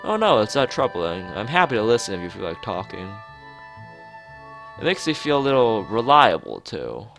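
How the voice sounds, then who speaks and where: Man reading as a gentle, shy young woman. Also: on the low side, very animated, normal speed, gravelly, soft background music, exaggerated voice. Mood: kindly. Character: shy, kindly young woman, eager to listen.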